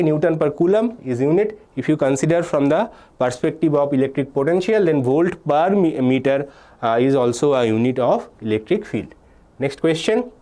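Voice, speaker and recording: Young indian guy teaching physics live online, voice a bit on the high side, reads out student answers, says okay a lot. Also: dark tone. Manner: explains step by step, reads student names and answers from chat; frequent "uh" and "okay" fillers, repeats key points. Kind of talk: live online exam-prep class.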